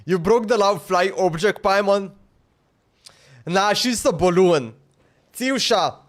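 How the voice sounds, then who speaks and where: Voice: medium pitch, slow pace. A streamer giving casual live commentary on a game in short, offhand, chatty reactions.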